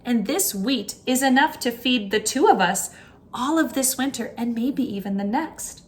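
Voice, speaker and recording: A woman's voice: fairly high pitch, lively intonation, moderate pace, close to an everyday voice. A woman voicing a brave, generous young village girl in a children's storybook. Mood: defiant.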